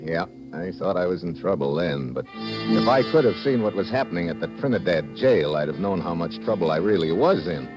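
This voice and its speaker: A man's voice: low-pitched, lively intonation, fairly quick. A man acting a tough, wary undercover diver in a vintage radio drama, speaking and narrating in terse, colloquial style. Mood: grim narration. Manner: terse, hard-boiled, colloquial. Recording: vintage radio recording with background music.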